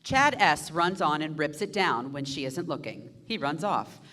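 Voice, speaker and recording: A young woman reading stage directions aloud in a staged musical reading, in a brisk, expressive way. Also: low voice.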